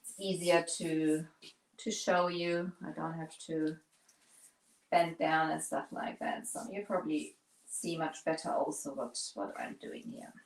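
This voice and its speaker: A woman's voice: low voice, little pitch movement, measured pace, reverberant, poor audio. German woman chatting through a paint demo. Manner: searches for words, restarts often, chats to viewers. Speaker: painting livestream host. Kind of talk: casual live painting demo.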